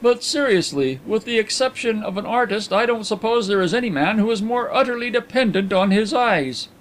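A brisk gentleman private detective from an audiobook, acted out by a male reader. He is frank and emphatic, with a slightly pompous conversational air. The voice is lifted well up in pitch. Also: expressive. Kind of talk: audiobook character dialogue.

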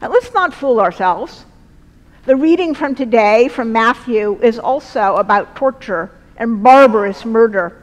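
A middle-aged woman delivers a sermon at a church lectern in slow, weighty phrases. Her voice sits fairly high, and a long church reverb trails behind every line. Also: expressive. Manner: measured, earnest, slow deliberate phrasing. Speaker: preacher. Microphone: lectern mic, far camera.

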